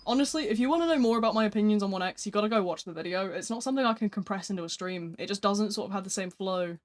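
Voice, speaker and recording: A gaming streamer chatting casually during a live stream, answering viewer messages in a flat speaking voice. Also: medium pitch, rapid-fire.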